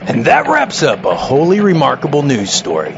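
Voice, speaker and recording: A man's voice: fairly high pitch, wildly swooping pitch, moderate pace, vocal fry, a crowd in the background, heavily acted. A man playing a grandiose, pompous TV news reporter in a parody broadcast. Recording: dull, noisy on-location sound.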